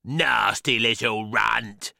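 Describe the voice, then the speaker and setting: Man as a slow, cruel giant cauliflower. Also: medium pitch, steady tone, gravelly, sharp tone, no room sound, studio quality, heavily acted. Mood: cruel, gloating. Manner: slow, menacing. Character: menacing humongous cauliflower, cruel bully.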